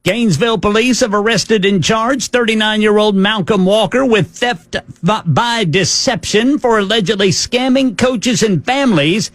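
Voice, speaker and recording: A man's voice: high-pitched, moderate pace, few pauses, dry recording. A man co-hosting a radio talk show, telling stories and reading out a news item with wry asides. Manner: animated, wry storytelling. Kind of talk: reading a news story and chatting.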